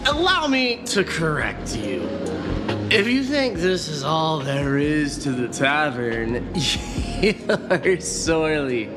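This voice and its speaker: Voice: mid-range voice, sing-song, slow. A performer voicing a theatrical, teasing tavern regular in a video game, with drawling, chuckling delivery. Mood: smug, lazy. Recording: loud music and tavern crowd behind.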